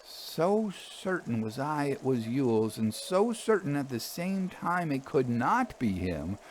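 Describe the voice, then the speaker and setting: A man narrating a literary ghost story aloud with measured, suspenseful and expressive phrasing. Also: medium pitch, a little hiss or hum. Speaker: storyteller reading fiction aloud.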